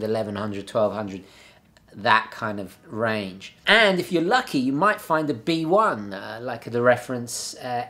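A man's voice: medium pitch, a bit bright. Young male host, casual and chatty, filmed at a desk in a home office. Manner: chatty and enthusiastic, frequent fillers and restarts. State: slightly hoarse, clearing throat. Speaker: watch channel host. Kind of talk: casual video review. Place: home office room with a map on the wall.

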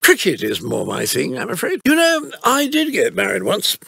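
A fussy, swooping British butler. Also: male voice, high voice, wildly swooping pitch, normal speed, creaky, fairly bright tone, husky, dry recording, exaggerated voice. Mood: dry wit. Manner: formal, wry, politely disapproving. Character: dry, fussy older gentleman butler of a criminal gang. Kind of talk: video game character voice lines.

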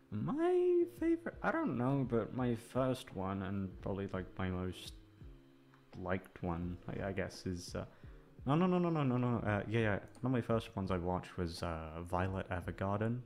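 Low-pitched man rambling casually over music. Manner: hesitant, self-deprecating, freely swearing. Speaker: ASMR voice actor and channel host. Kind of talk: casual rambling chat to listeners. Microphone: microphone, sitting a little back from it. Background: soft guitar music.

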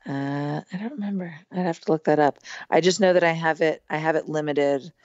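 Hoarse low-voiced woman, chatty. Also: a little fast, a little mellow, no echo, dull audio. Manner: chatty, rambling with many fillers. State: losing her voice, hoarse. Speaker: podcast guest, musician. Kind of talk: casual conversation.

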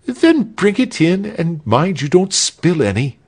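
Storybook executioner: a man as a polite old gentleman, high, fussy, kindly and animated. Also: slow pace, heavily acted. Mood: fussy, obliging. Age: older. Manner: fussy, mild, courteous.

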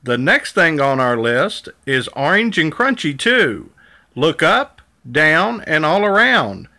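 A man's voice: a little high, sing-song, takes it slowly, bright, forceful tone, full character voice. A man reading the part of a cheerful, helpful big dinosaur in a children's storybook, encouraging and sing-song.

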